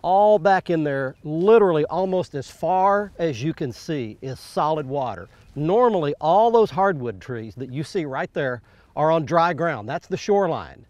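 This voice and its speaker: A middle-aged man hosting a fishing show from a bass boat on a lake, talking in a folksy, enthusiastic way. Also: expressive, a little slow, a little mellow, dry recording, highs cut off. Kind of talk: outdoor show presenting.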